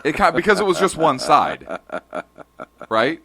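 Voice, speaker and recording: A man's voice: fairly high pitch, big pitch swings, measured pace, gravelly, sharp tone, slightly rough. A man co-hosting a radio talk show, cracking jokes and often stumbling into restarts. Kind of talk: joking political banter.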